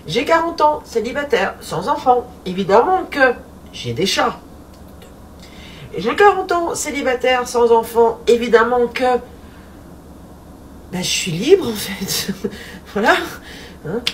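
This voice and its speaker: Forties woman, fairly high voice, laughing and chatty, recorded off-mic with light background noise. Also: sing-song, slow pace, long pauses, recorded from a distance. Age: 40s. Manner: playful and chatty, repeats a refrain, laughs often. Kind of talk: casual monologue.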